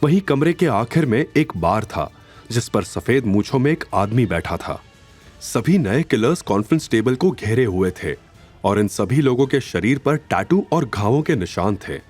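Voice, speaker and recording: A man's voice: mid-range voice, very animated, moderate pace, a little mellow, slightly rough, soft background music. A man narrating a scripted audio drama in a dramatic storytelling style.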